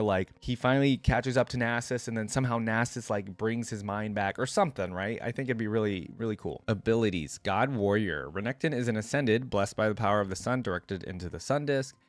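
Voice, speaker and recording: Male reaction host, lowish pitch, close dry recording, reads text aloud with casual asides. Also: no room sound. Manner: informal, thinks aloud with frequent "like". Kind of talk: reading lore aloud with casual commentary.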